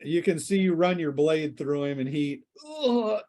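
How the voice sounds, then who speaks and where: A man's voice: on the high side, expressive, measured pace, warm tone. Casual man running a tabletop game. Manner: casual, conversational, descriptive. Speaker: game master. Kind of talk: improvised narration of a tabletop role-playing game.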